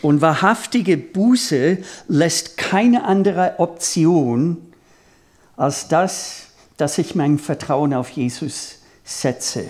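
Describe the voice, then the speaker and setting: A man preaching a sermon in a language that is not his mother tongue, deliberate and halting as he rephrases himself. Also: fairly high pitch, a little mellow. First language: not German; speaks German as a second language.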